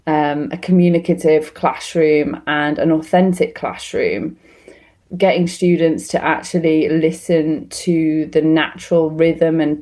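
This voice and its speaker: Low-voiced Welsh woman, chatty guest. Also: a little mellow. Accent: Welsh-English, mixed with London and Canadian influence. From Wales. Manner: chatty and reflective, with frequent ums and restarts. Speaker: guest, ESL teacher. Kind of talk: conversational interview answers. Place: lives in Canada.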